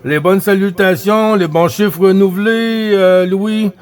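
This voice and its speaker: A man with a Quebec French accent and a voice a bit higher than average. He talks as a CB radio operator in an easygoing, conversational way. His delivery is halting, with phrases often said twice. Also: fairly even intonation. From Quebec, Canada. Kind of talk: casual radio chat with greetings.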